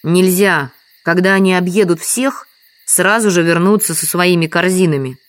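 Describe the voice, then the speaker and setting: A woman's voice: lowish voice, moderate pace, dry recording, lightly acted. An actress playing a dutiful, stubborn village woman in an audio drama, plain and insistent in manner.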